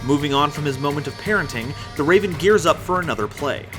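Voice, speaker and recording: A man's voice: a little high, expressive, quick pace. A man giving dramatic scripted narration for an audio drama. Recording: music behind the voice.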